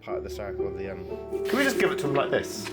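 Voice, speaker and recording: A man's voice: fairly low pitch, wildly swooping pitch, rapid-fire, over loud music. A man helping out on a vlog, chatting casually. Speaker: vlogger's helper. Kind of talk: casual chat.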